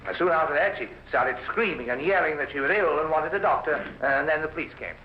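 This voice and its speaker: An actor playing an earnest, hesitant British civilian witness helping police, in a 1950s radio drama with an echoey, hissy sound. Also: male voice, a little high, fast talker, muffled recording, lightly acted. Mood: earnest, recounting.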